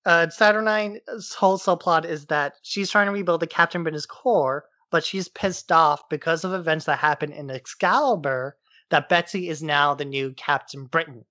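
A man's voice: fairly high pitch, normal speed, bright, forceful tone, dry recording. A man hosting a podcast, giving a casual, informal recap in loose everyday language. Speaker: podcast host. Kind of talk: casual comic-book recap.

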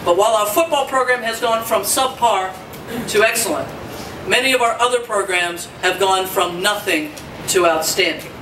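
A man's voice: high voice, moderate pace. A man speaking upbeat, promotional remarks at a press conference. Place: conference room at a stadium. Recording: echoey room from far off, noisy.

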